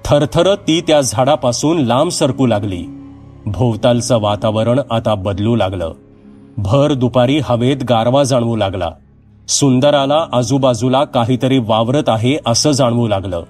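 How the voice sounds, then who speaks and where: A man's voice: medium pitch, a little slow, a little mellow. A man narrating a scripted horror story as a storyteller, with music behind him. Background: background music.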